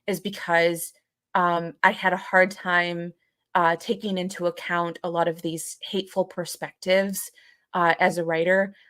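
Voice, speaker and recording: A young adult woman co-hosting a podcast in reflective, casual conversation, haltingly repeating phrases as she thinks. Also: fairly low pitch, flat delivery, fairly bright tone, dry recording, studio quality. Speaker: podcast co-host.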